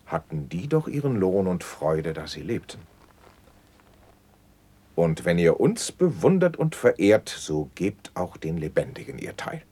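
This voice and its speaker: A gracious noble patron in a classical radio drama. His voice is very low and husky, moving widely in pitch as he declaims verse. The manner is stately and ceremonious. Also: very animated, a little slow, warm tone, full character voice. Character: dignified, gracious noble male patron at court.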